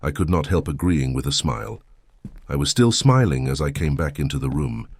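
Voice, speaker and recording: A man's voice: very deep voice, animated, moderate pace, creaky, slight background noise. A man reading a first-person story as a scripted audiobook narration. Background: soft music.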